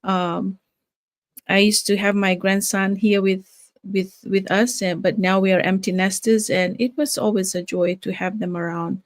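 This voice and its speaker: A woman's voice: mid-range voice, level tone, moderate pace, no room sound, studio quality. An older woman from Fiji, a grandmother, hosting a casual live stream with many fillers and restarts. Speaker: live-stream host, nurse and business owner.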